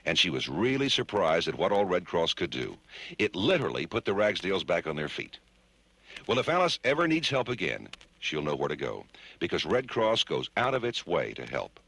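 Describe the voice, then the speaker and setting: A man's voice: deep voice, big pitch swings, moderate pace, pushed and bright, breathy edge, dry recording, rough recording. A man reading a scripted charity appeal on the radio.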